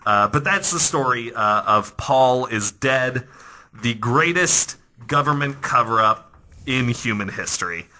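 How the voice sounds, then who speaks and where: A man hosting a podcast, telling stories in a casual, animated, conversational way that often restarts mid-thought. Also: medium pitch, measured pace. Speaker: podcast host. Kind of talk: casual storytelling podcast talk.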